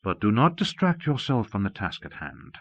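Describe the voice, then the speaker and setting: A man's voice: fairly low pitch, very animated. Dull-sounding, imperious disembodied mastermind played by a man. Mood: possessive, commanding. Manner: commanding, slow and emphatic, formal. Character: Fury, imperious, menacing disembodied mastermind, coldly possessive. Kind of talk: audiobook character dialogue. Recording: dull lo-fi cassette audiobook.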